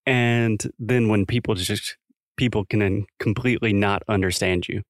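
A man's voice: lowish voice, fairly even intonation, a little slow, no room sound, studio quality. A man from South Carolina co-hosting a podcast, talking thoughtfully and explaining with examples in a casual chat. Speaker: podcast co-host.

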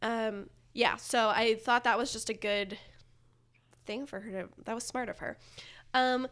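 A woman's voice: on the high side, steady tone, unhurried, fairly bright tone, clear, resonant tone. A woman in casual conversation about pop culture, presenting as a guest and peppering her sentences with "like". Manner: conversational, heavy use of "like". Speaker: podcast guest presenting ranked music video picks.

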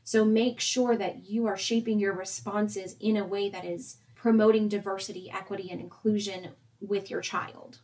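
A young woman co-presenting, talking parents through advice in a steady, explanatory way and addressing them directly. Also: mid-range voice, moderate pace, few pauses. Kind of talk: semi-scripted advice talk.

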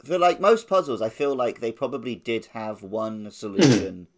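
A man's voice: medium pitch, normal speed. A man co-hosting a podcast, talking casually about a video game. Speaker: podcast co-host.

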